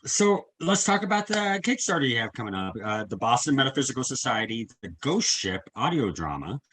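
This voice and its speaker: A man's voice: medium pitch, very animated, a little fast, a bit bright, breathy edge. A man hosting a casual interview over a video call. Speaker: interviewer, host.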